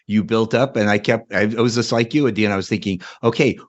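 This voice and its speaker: A man's voice: on the low side, steady tone, fast. A man chatting casually as a podcast co-host, repeating phrases for emphasis, on slightly lo-fi audio. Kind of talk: casual chat.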